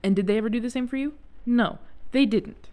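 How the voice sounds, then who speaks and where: A woman playing a protective, exasperated friend in an intimate audio roleplay, speaking in a natural, halting, emphatic way. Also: fairly high pitch, dark tone, close to an everyday voice. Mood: exasperated, impassioned. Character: protective, exasperated friend secretly in love, driving someone home.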